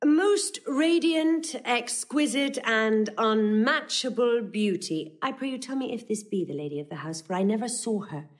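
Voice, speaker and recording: A woman's voice: on the high side, expressive, unhurried. An actress playing a saucy, eloquent young woman disguised as a male messenger, in a declamatory, courtly classical Shakespearean style. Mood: courtly, flustered. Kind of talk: classical stage acting of Shakespeare.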